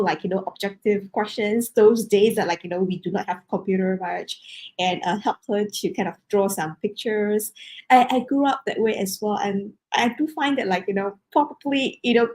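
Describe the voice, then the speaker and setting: A woman with a medium-pitched voice hosts a casual interview. She talks in a wordy, hesitant way, circling toward her point. Her sentences are long and loosely built, full of conversational filler. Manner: hesitant, wordy, peppered with "like, you know". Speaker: interview host.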